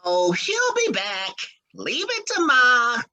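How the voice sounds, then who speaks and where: A woman puts on an easygoing, naive husband for a radio play. The pitch is high and swoops extremely. The talk is a slow, exaggerated drawl from a devoted mama's boy. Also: big pitch swings, creaky, sharp tone, heavily acted. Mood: carefree cheer. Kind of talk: old-time radio drama acting.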